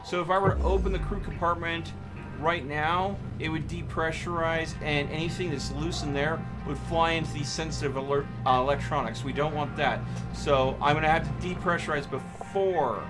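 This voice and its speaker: A man hosting a live game stream, chatting casually and commenting on his play, with game music behind him. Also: fairly high pitch. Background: video game music.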